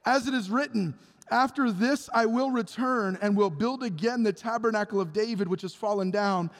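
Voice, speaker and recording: A man preaching a sermon with passion, acting out Bible figures in quick bits of dialogue. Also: sits high. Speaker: pastor. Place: church sanctuary. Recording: long hall reverb.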